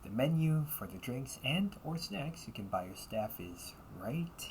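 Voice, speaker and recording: A man's voice: medium pitch, very animated, measured pace, background noise. A man acting the part of a young butler cafe waiter in an audio roleplay, with formal, courteous service talk.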